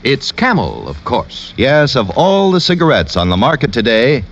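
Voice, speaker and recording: Male American announcer, vintage radio ad read, very lively intonation, dull broadcast sound. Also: mid-range voice, normal speed. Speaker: commercial announcer. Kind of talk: 1950s radio advertisement read. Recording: muffled old radio broadcast.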